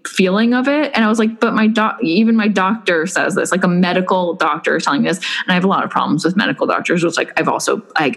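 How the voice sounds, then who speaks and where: A woman's voice: on the low side, quick pace, few pauses. A young woman in casual chat, telling a personal story in a rambling way with many fillers and restarts. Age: young adult. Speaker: blogger recounting personal experience.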